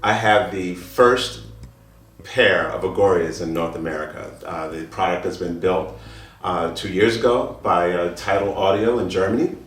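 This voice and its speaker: A man giving an informal product presentation as a dealer, speaking in a deliberate, halting way with repeated phrases. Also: low-pitched, fairly bright tone. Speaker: audio dealer presenting loudspeakers. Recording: roomy, distant mic, faint music behind.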